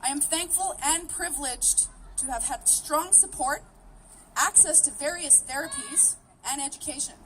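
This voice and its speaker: High-voiced woman giving a speech. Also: moderate pace, a little hiss or hum. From Canada. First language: Greek. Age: 30s. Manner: earnest, steady, determined delivery. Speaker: rally speaker. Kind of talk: prepared public speech. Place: outdoor rally. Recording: voice picked up from a distance.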